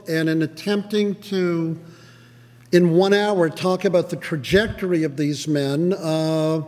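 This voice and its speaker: An older man answers questions after his lecture in a brisk, conversational manner. His voice sits fairly high. It rings out with a long reverb through a large auditorium, picked up by a podium mic. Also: darkish tone. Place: large synagogue auditorium stage. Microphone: podium mic, sometimes walking away. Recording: reverberant hall.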